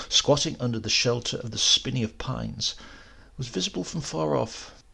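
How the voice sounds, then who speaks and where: A man reading a literary short story as an audiobook narrator, in a measured, expressive way. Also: medium pitch, vocal fry, slightly rough.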